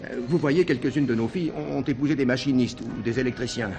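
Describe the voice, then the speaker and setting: A man's voice: mid-range voice, quick pace, darkish tone, soft background music, close to an everyday voice. An actor playing a chatty, theatrical backstage man in a French stage comedy-mystery, exclaiming and teasing as he evades questions. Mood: evasive, confiding.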